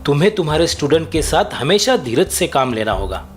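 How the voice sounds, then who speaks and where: Guy doing a wise old-school cartoon teacher, kind n encouraging, really expressive pitch, bit of music under. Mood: warm, advising. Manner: measured, encouraging, advising. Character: wise, kindly male senior schoolteacher, a mentor. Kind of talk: cartoon voice acting.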